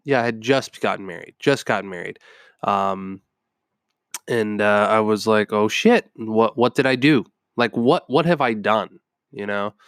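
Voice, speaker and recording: A man's voice: lowish voice, measured pace, smooth, no room sound, studio quality. A young adult man with a stuffed-up cold, hosting a podcast and telling a personal story in a rambling way with many ums. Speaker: podcast host. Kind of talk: casual personal storytelling monologue.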